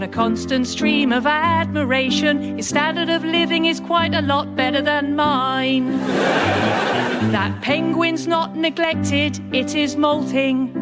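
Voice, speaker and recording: An actress playing an exasperated, defensive zookeeper, singing a comic musical number for a radio sketch show. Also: female voice, high voice. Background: loud musical accompaniment.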